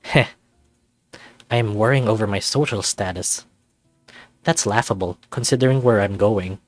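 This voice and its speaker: A man's voice: fairly low pitch, moderate pace, no room sound, faint music behind, lightly acted. A man voicing a sarcastic, anxious young furry student in a visual novel, narrating in a wry, self-deprecating, conversational way. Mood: wry musing. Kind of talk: visual novel character voice-over.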